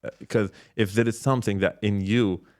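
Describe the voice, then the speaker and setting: Male podcast guest, startup mentor type, lowish voice, casual and reflective, restarts phrases as he explains. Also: steady tone, a little mellow. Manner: halting, repeats words, explains with examples and check-questions. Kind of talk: casual reflective interview talk.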